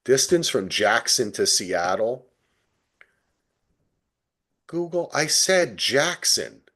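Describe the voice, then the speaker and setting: A middle-aged American man from New Jersey chatting casually as a podcast co-host, telling things in an animated, profane way. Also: mid-range voice, takes it slowly. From New Jersey, United States. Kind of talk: casual TV recap chat.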